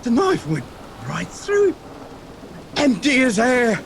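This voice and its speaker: High-pitched raving mad sailor. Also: male voice, wildly swooping pitch, slow pace, vocal fry, slight background noise, exaggerated voice. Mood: frantic terror. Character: terrified, raving mad ship's mate. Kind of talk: theatrical horror radio acting.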